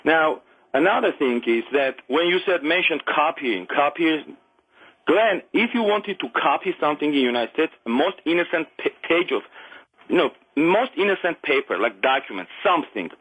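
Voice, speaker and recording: A middle-aged man from Armenia whose first language is Armenian, speaking English with an Armenian accent. He calls in to a radio show and talks earnestly, haltingly, with many restarts and non-native grammar. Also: on the high side, lively intonation, breathy edge, phone-quality sound. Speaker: caller. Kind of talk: call-in radio conversation.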